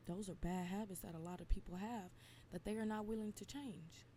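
A woman in her twenties, slightly raspy, venting bluntly and emphatically in a casual solo talk. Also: medium pitch, fairly even intonation, brisk, dark tone, slight background noise. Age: 20s. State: slightly raspy from lack of sleep. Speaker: podcast host.

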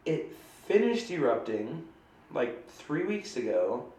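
A woman's voice: extremely low pitch, sing-song, takes it slowly, dark tone, echoey room, poor audio. A woman co-hosting a casual chat show, talking in a chatty, rambling and playful way, with a stuffy nose from a cold. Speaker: podcast co-host.

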